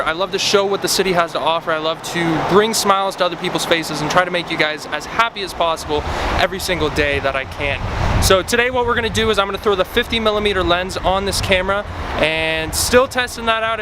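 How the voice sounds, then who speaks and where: Young male vlogger, bright high voice, enthusiastic, camera mic on a noisy street. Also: fast talker. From Idaho, US. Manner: energetic, chatty, enthusiastic. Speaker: vlogger interviewing locals. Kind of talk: upbeat vlog intro and street interviews. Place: outdoors on city streets with traffic. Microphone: camera-mounted shotgun mic. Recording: street traffic noise.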